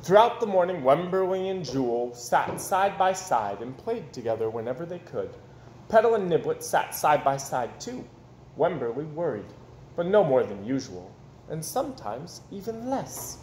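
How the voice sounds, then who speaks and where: A man in his thirties reads a children's story aloud. His voice sits fairly high and swings widely for dramatic emphasis. The room adds noticeable echo and some noise. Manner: animated, dramatic pauses and emphasis. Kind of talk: expressive children's picture-book read-aloud.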